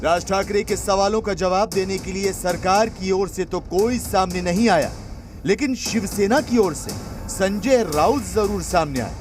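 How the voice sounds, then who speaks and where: A man with a high-pitched voice narrates a scripted news report. His delivery is emphatic and dramatic. Prominent music plays underneath him throughout. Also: measured pace. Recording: voice-over with music bed.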